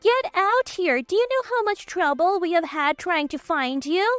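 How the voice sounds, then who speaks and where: Woman voicing a very shrill, greedy sister-in-law. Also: lively intonation, moderate pace, fairly bright tone, dry recording, soft background music. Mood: indignant, demanding. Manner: whiny, demanding, indignant. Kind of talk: acted dialogue in narrated story.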